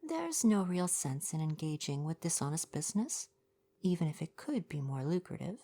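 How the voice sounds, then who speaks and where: A woman playing a kind young mer person shopkeeper in an ASMR roleplay, speaking softly in polite, articulate, formal retail patter. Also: low voice, very animated, moderate pace, slight background noise. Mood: earnest, courteous.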